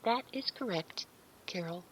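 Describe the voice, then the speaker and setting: A woman's voice: on the low side, lively intonation, measured pace, slight background noise, low-quality recording. An actress playing a meek, naive, otherworldly female servant in an audio drama, speaking in careful, stilted, deferential phrases with hesitant hums. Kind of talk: scripted audio drama roleplay.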